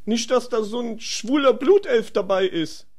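A man voicing a brash, slangy young adventurer with a raspy voice in a comedy fantasy audio drama. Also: very high voice, expressive, a little slow, exaggerated voice. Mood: wary, loud. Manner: street-slang, easily outraged.

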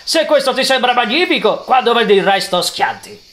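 Young man doing a goofy, high-pitched cartoon tow truck. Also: lively intonation, brisk, a bit bright. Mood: goofy, friendly. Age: young adult. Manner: folksy, chuckling, playful. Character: goofy, friendly, simple-minded rusty male tow truck. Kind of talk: cartoon fan dub. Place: small home room with shelves. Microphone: close studio mic on stand.

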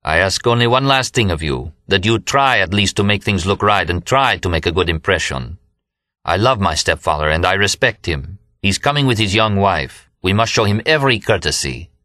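A courteous young man played by a male narrator pleads with his friends in an audiobook. He sounds wounded and sincere, formal in his wording, with a clear bright edge to the voice. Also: low-pitched, animated, moderate pace, dry recording, studio quality. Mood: earnest pleading.

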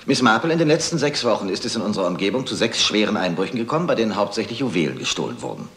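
A man's voice: on the low side, sing-song, rapid-fire, fairly bright tone, reverberant. An actor playing a sceptical male police inspector in a detective drama, dry, official and condescending. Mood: smug, dry. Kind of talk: German detective film dialogue.

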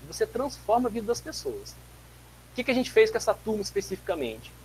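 A man in his forties, from Brazil, giving an animated physics lecture over a video call from a home office, telling stories with frequent restarts. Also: high-pitched, brisk, a little hiss or hum, poor audio. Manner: animated, gestures a lot, tells anecdotes with many restarts. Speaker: guest lecturer, physics teacher. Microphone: webcam mic on a video call.

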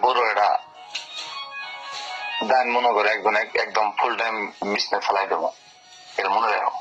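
A man's voice: on the low side, steady tone, moderate pace, over music. A man talking informally on a recorded phone call, in a domineering, insistent way, often repeating himself. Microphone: telephone.

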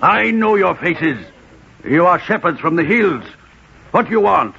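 Jumpy scolding servant, high gravelly voice, old radio drama. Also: male voice, animated, a little slow, pushed and bright, slight background noise, exaggerated voice. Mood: alarmed, scolding. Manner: urgent, scolding, fearful. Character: anxious, blustering male servant of a king. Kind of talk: 1940s radio acting. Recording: lo-fi 1940s radio recording, music behind.

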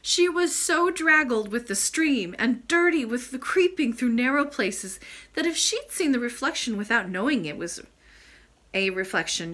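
A woman's voice: a little high, pushed and bright. A woman in her thirties, a storytime reader who narrates expressively in a small indoor room. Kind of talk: expressive bedtime story reading with informal asides.

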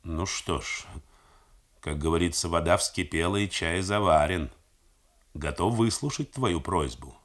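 Grey-haired general, slow and measured, low voice, male reader doing him. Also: lively intonation. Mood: calm. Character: older male army commander, grey-haired, calm, fatherly.

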